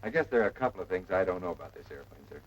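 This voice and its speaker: An actor playing an eager, respectful young lieutenant reciting in clipped phrases in a 1940s military training film. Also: male voice, low voice, lively intonation, rapid-fire, breathy edge, lo-fi sound. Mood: earnest reciting. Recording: faintly noisy vintage film soundtrack.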